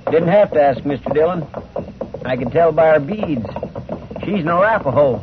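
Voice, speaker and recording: An actor playing a loyal, deferential western deputy with an American accent in an old radio drama. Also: male voice, fairly high pitch, animated, normal speed, music in the background, close to an everyday voice. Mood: reporting. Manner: folksy, deferential, plain-spoken. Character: loyal, folksy deputy of a frontier marshal. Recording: tinny, band-limited old radio recording.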